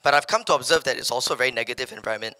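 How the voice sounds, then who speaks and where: A young Malaysian man with a Malaysian English accent, giving an energetic motivational talk on stage in a large hall through a handheld mic. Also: medium pitch, very fast. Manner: energetic, paces the stage, slips into local slang when quoting others. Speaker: keynote speaker. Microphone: handheld microphone.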